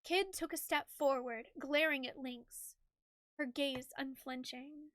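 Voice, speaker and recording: A woman's voice: high voice, steady tone, takes it slowly, fairly bright tone, dry recording. A woman streamer reading a game's story aloud as dramatic narration, with casual asides. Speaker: streamer narrating a game playthrough.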